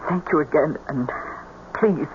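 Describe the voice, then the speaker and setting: A woman's voice: low voice, a little slow. An actress playing a lonely, gullible middle-aged mother in grief, tremulous, pleading and hesitant, in an old radio drama. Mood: meek, grateful. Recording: dull, noisy old radio broadcast.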